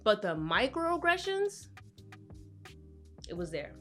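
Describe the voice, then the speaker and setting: Woman in her twenties, vlog commentary, fairly high voice, emphatic, faint music underneath. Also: big pitch swings, slow, fairly bright tone. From Brooklyn, New York, with Trinidadian roots. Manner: conversational, emphatic, acts out voices of others. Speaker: vlogger. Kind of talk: candid personal commentary to camera.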